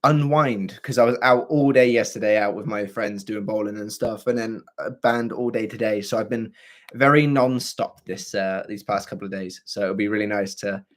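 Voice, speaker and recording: A hoarse young man with a scratchy, throaty voice, hosting a livestream and chatting casually with viewers. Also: on the low side, moderate pace. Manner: chatty and rambling, with frequent fillers and throat clearing. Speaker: livestream host. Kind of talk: casual livestream chat answering viewer comments.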